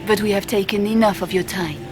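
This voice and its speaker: An actress playing an excitable, polite young noblewoman at court in a fantasy video game. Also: mid-range voice, fast, faint music behind, natural voice. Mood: polite, earnest.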